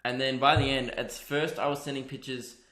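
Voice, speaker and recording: A man with a high-pitched voice hosts a casual podcast in an echoey room, swearing freely and joking. His talk is loose and teasing, full of quick asides. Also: level tone, fairly bright tone. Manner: joking, sweary, reads out listener reviews. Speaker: podcast host. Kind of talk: casual chat.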